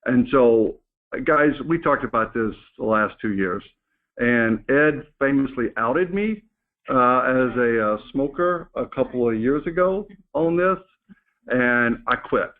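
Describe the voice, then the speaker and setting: A man's voice: medium pitch, animated, measured pace. Man presenting over a narrow phone line. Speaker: company executive hosting a staff call. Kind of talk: informal business presentation, reading and answering staff questions. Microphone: conference call phone line.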